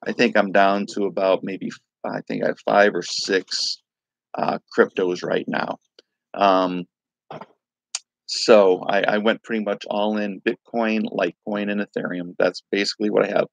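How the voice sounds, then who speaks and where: A man with a raspy voice from a night of singing, hosting a casual crypto livestream, rambling loosely and greeting viewers by name. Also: on the low side, level tone, a little slow, no echo, muffled recording. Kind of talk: casual live-stream chat.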